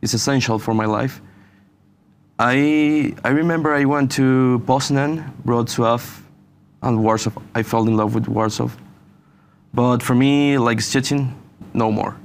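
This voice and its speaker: A young man from Venezuela, a university student answering interview questions in halting English and saying "you know" often. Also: mid-range voice, steady tone, a little hiss or hum. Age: young adult. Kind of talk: casual interview.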